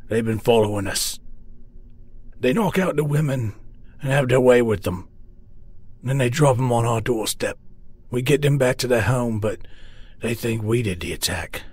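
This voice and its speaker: A man voicing a grotesque, remorseful man-eating beast in a fantasy horror story, speaking gravely and in measured phrases. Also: medium pitch, darkish tone, dry recording, close to an everyday voice. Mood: grave confession. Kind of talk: dramatised fantasy horror audiobook.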